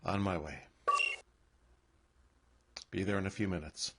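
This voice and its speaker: A man playing a stern starship captain in a science-fiction audio drama, speaking in a measured, commanding way. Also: sits low, steady tone, vocal fry, very noisy. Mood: weary, casual.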